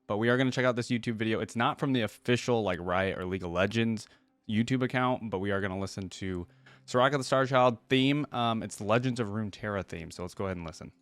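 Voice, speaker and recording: A man's voice: on the low side, fast, no room sound. A man giving casual reaction commentary as a host, speaking informally and thinking aloud.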